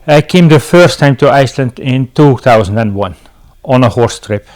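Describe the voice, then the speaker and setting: Casting: middle-aged male interview guest, Belgian accent, medium pitch, conversational delivery. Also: lively intonation, moderate pace, darkish tone. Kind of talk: casual interview answers.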